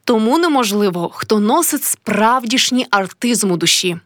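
Woman voicing a proud, passionate young artist, high-pitched. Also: lively intonation, normal speed, fairly bright tone, no room sound, studio quality. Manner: intense, declamatory, dramatic.

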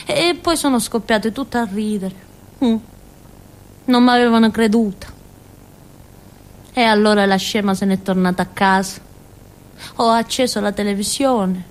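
A woman's voice: medium pitch, unhurried, pauses often, lightly acted. Actress as a naive Calabrian village fool girl. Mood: wondering. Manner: childlike, colloquial storytelling with frequent giggles and asides. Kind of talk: theatrical monologue for radio.

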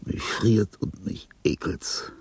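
An actor playing a dying, lonely old composer in a radio drama, bitter and imperious, with a husky, gravelly, halting delivery. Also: male voice, a little mellow, natural voice. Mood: weary, bitter. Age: older. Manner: halting, theatrical, swinging between muttering and outbursts. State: weak, shivering with cold. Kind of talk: German radio drama monologue.

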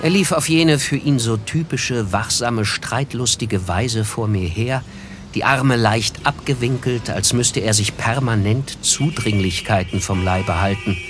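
An actor playing a disillusioned, reflective narrator, telling a literary story in a measured way in a radio drama. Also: male voice, medium pitch, music in the background, natural voice. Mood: detached. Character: reflective male first-person narrator, disillusioned.

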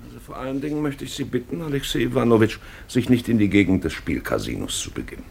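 An actor playing a stern, self-important old general in a radio drama, talking down haltingly with hems and pauses. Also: male voice, medium pitch, lively intonation, vocal fry, darkish tone, a little hiss or hum. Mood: pompous, uneasy. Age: older.

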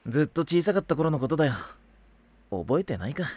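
A man's voice: fairly high pitch, big pitch swings, fairly quick, darkish tone, dry recording. A man playing an easygoing, kindly teenage boy in an anime audio drama, casual and lightly teasing. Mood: relaxed, reminiscing.

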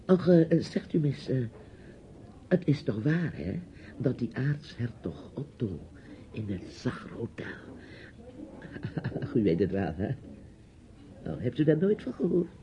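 A dull, hissy old radio play recording of a bossy older man. His pitch swings widely as he rambles, hesitates and gives orders in a nosy, theatrical way. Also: sing-song, unhurried, pauses often. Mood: chatty, rambling. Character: chatty, bossy, nosy older relative with a niece. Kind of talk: radio drama acting.

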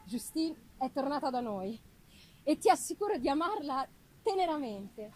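Woman reading as a high-voiced, tender young gentlewoman. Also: a little hiss or hum, poor audio. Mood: grave, affectionate. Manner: expressive, unhurried dramatic delivery with pauses. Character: young affectionate gentlewoman writing an anxious, tender letter. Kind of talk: theatrical literary reading. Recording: live music behind the voice.

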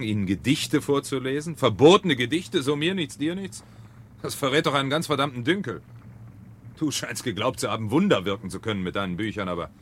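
A man's voice: medium pitch, a bit bright, a little hiss or hum, close to an everyday voice. An actor playing a smug, menacing fire captain who lectures his fallen subordinate in a mocking, pontificating way, in 1970s German radio drama style.

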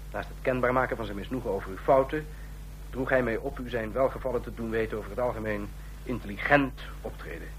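An actor in a radio play playing a stern, condescending ambassador of a fascist state, speaking in formal, measured officialese. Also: male voice, medium pitch, fairly bright tone, poor audio. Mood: displeased, sardonic. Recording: clear hum and noise, old radio recording.

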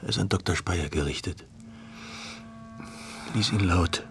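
An actor playing an ailing, middle-aged writer and court official in a German radio play, halting and brooding, restless with self-doubt and sardonic. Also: male voice, low voice, a little hiss or hum. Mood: weary, insistent.

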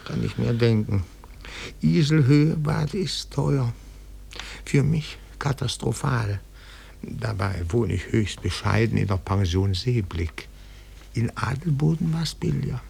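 A man's voice: medium pitch, lively intonation, slow, gravelly, darkish tone, breathy edge, heavily acted. An actor playing a fussy, deferential retired bookkeeper turned private detective, an older man speaking meticulously and politely in a radio play. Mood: polite, insistent. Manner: meticulous, polite, savouring his words. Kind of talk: 1950s German radio play acting.

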